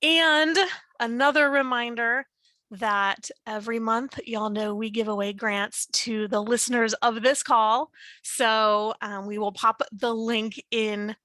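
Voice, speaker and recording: A woman's voice: on the high side, steady tone, takes it slowly, sharp tone, no echo. A woman giving informal announcements on a group call in an upbeat, folksy manner. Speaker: organization representative giving updates.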